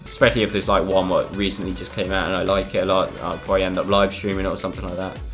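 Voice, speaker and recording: Young guy from spain doing a youtube q&a, bit low voice, ums a lot, soft music in the back. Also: very fast. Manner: relaxed, hesitant, full of ums and "I don't know". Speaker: gaming YouTuber. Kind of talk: casual Q&A, reading and answering viewer questions.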